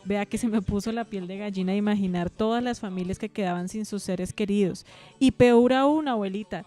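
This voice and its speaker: A woman's voice: medium pitch, brisk, warm tone, lightly acted. A woman with a Colombian accent playing an attentive, affectionate granddaughter reacting expressively in a radio drama. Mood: moved, tender.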